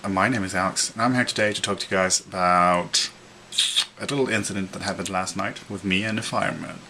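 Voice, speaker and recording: A man's voice: deep voice, fairly quick, very noisy. A young man from Norway with a Norwegian accent, telling a rambling story in a casual vlog, full of fillers, restarts and chuckles. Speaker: vlogger.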